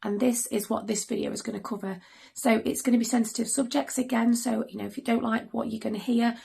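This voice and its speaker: Hoarse, gravelly woman, hesitant. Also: on the high side, steady tone, warm tone. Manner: hesitant, many pauses and ums, earnest. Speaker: mother and channel host. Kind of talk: earnest serious vlog talk to camera.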